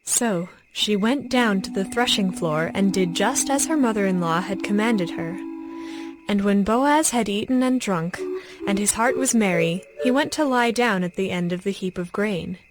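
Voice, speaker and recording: A woman narrating a scripted, dramatized scripture audiobook in a measured storytelling manner. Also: mid-range voice, over music, poor audio.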